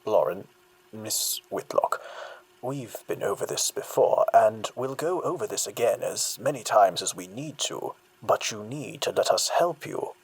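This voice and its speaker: An actor playing a patronising, persistent male therapist in an audio drama, speaking in a measured, coaxing manner. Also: lowish voice, expressive, slightly rough, full character voice, low-quality recording. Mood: firm. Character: patronising, persistent therapist challenging a patient's delusions.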